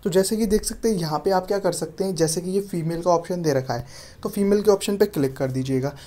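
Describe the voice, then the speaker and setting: A man's voice: sits high, fast talker, dark tone. A man giving an app tutorial voice-over as a YouTube host, often addressing his viewers as friends.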